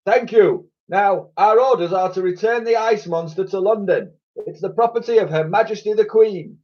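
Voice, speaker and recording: A man voicing an overly polite, pompous male naval captain calling over a loudhailer. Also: high-pitched, moderate pace, voice pushed up, natural voice. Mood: fussy polite. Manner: overly polite, keeps asking to repeat. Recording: lo-fi recording.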